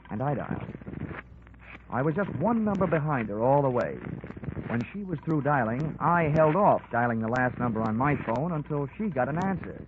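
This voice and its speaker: An American actor playing a wry, hardboiled insurance investigator in a 1940s radio drama, with punchy, wisecracking delivery. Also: male voice, medium pitch, noisy background, natural voice. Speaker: lead actor and narrator. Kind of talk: 1940s radio detective acting. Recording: old muffled radio recording with music.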